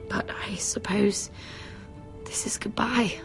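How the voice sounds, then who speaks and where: An actress playing a gentle, earnest young noblewoman who speaks softly and sometimes drops to a whisper, in a story game. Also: mid-range voice, steady tone, vocal fry, music in the background. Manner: soft, slow and earnest, dropping to whispers.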